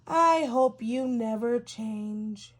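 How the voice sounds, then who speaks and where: 다정하고 격려해 주는 엄마 오리를 연기하는 여성. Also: female voice, on the high side, extremely slow. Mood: affectionate. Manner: affectionate, encouraging. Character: loving, approving adult mother duck.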